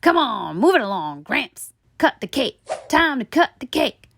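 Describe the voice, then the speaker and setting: A woman voicing a pushy, loud, candy-craving tooth in a children's storybook, brash and impatient. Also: sits high, sing-song, measured pace, vocal fry, slight background noise, pitched above the performer's own voice, heavily acted. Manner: brash, demanding, impatient, wisecracking.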